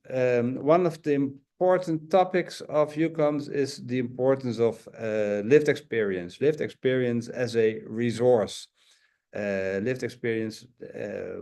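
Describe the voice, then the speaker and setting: Male webinar host, mid-pitched and resonant, pausing often mid-sentence as he introduces the session. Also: dark tone. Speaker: host, psychiatrist and network chair. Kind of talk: webinar welcome, semi-scripted talk.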